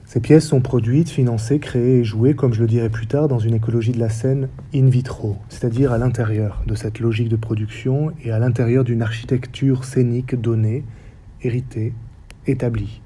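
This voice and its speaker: A man in his forties from the French Basque Country, with a faint Basque accent, giving a reflective public talk as a stage director in measured, short phrases. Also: mid-range voice, steady tone, dark tone, slightly rough. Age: 40s. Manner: measured, list-like delivery with short paused phrases. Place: theatre stage or hall.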